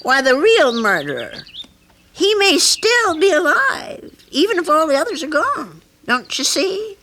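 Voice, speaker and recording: A woman's voice: sits high, huge pitch swings, measured pace, sharp tone, heavily acted. A woman playing a kindly, fussing, chatty landlady with a motherly, homely manner in an old-time radio mystery drama. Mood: earnest, insistent. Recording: noisy archival radio drama recording.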